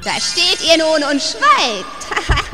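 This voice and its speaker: A woman acting a wrathful, gloating evil sorceress queen in a children's radio play, pompous and menacing, with drawn-out delivery. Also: extremely high pitch, sing-song, heavily acted. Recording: long hall reverb under loud music.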